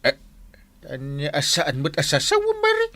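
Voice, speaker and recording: A man playing a flustered, excitable village captain in a comedy drama, with an Ilocano accent and hesitant, sputtering exclamations. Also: fairly high pitch, normal speed, full character voice. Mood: flustered, pleading. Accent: Ilocano, Philippines. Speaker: barangay captain character.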